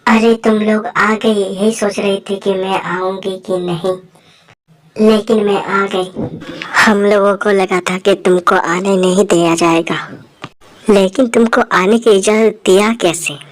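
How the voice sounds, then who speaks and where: A woman's voice: medium pitch, fairly even intonation, unhurried, slight background noise, close to an everyday voice. A woman voicing friendly, chatty young women in a cartoon story, speaking informally. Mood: chatty, teasing. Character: friendly, egalitarian young women on a forest outing.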